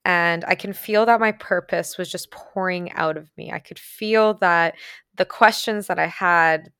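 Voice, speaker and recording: A woman's voice: on the low side, steady tone, unhurried, fairly bright tone, clean tone, no room sound, studio quality. A woman from Ukraine who grew up speaking Ukrainian and Russian, telling a personal story in a casual, reflective and earnest monologue. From Ukraine, later moved to Canada. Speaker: vlogger sharing personal experiences.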